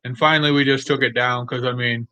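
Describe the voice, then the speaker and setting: A man's voice: mid-range voice, fairly even intonation, fast talker, sharp tone, clean tone. A man chatting casually as a guest, easygoing and matter-of-fact as he tells stories. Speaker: guest, commission client. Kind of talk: casual chat.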